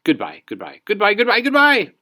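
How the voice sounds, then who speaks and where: A man voicing a timid, excitable, polite young girl in a storybook read-aloud. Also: sits high, fairly quick, voice pushed up, heavily acted. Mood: nervous.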